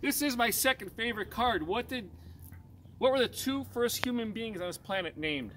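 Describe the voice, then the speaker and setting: A man's voice: extremely high pitch, animated, normal speed. A man in his fifties from Chicago, doing a card trick on a residential street and chatting with the onlookers he quizzes as he counts cards aloud. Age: 50s. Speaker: street magician and preacher. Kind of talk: outdoor card trick demonstration with banter. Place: residential street outdoors, kneeling on the sidewalk. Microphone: camera mic from a few meters away. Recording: distant camera mic, loud street noise.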